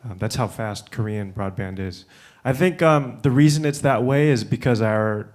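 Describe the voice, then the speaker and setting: A man who grew up in the United States, speaking on a conference panel in a relaxed way with many fillers and restarts. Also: mid-range voice, normal speed. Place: conference room.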